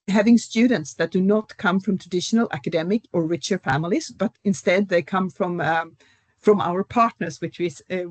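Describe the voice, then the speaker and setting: A middle-aged professor, speaking as a guest in an academic panel discussion. She explains at a measured pace, with frequent fillers. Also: fairly low pitch, dry recording. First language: Swedish.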